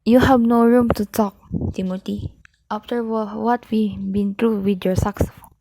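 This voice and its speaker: Woman playing a very mellow, busy mother. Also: mid-range voice, fairly even intonation, normal speed, a little hiss or hum, lightly acted, poor audio. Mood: scolding. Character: busy, placating but firm mother. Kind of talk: amateur English-language radio play.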